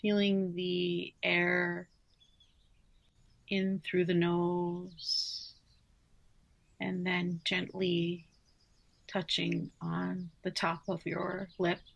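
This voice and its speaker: A woman guiding a yoga nidra relaxation in a soothing manner, speaking in short phrases with long pauses. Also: on the low side, flat delivery, gravelly, dull audio. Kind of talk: guided relaxation meditation, slow spoken instructions.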